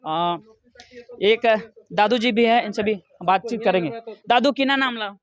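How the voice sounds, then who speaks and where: A man's voice: high-pitched, measured pace, crowd noise. A man with a Jharkhand accent working as a field reporter, putting leading, prompting questions to villagers in a street interview. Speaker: field reporter for a local news channel.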